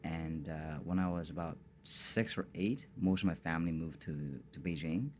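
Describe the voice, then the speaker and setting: A man's voice: very deep voice, normal speed, a little hiss or hum. A man from Northern China, a guest in a podcast studio, talking casually and often searching for words. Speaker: guest, tea bar co-founder. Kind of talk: casual interview chat.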